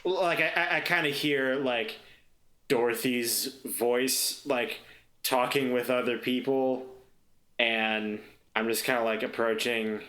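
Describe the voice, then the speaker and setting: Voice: medium pitch, fairly even intonation, takes it slowly, a bit bright. A player talking casually and hesitantly while improvising in a tabletop roleplay game.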